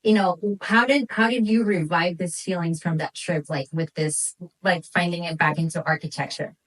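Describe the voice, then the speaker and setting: A woman's voice: lowish voice, steady tone, normal speed, fairly bright tone, distant mic, low-quality recording. A woman hosting a casual interview, effusive and encouraging as she puts questions to her guest. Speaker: interview host.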